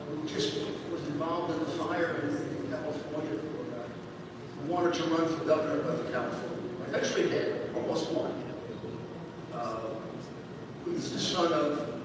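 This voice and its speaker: An older man telling a rambling story as a guest speaker, full of restarts and asides. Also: on the high side. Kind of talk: anecdotal storytelling. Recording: echoey hall from far off, background noise.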